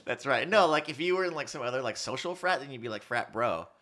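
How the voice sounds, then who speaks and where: A man's voice: mid-range voice, fast talker. A man of thirty-five chatting casually and telling stories in slangy, sweary speech, acting out bits of dialogue as he goes. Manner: animated storytelling with acted-out quotes, slangy with swearing. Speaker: college student in a business fraternity. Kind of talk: casual chat, storytelling.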